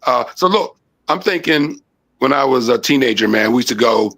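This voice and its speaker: Man, 60s, from Maryland, rambling, repeats himself, mid pitch. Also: animated, moderate pace, fairly bright tone. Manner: rambling storyteller, repeats phrases, says man a lot. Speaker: co-host. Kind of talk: casual panel discussion.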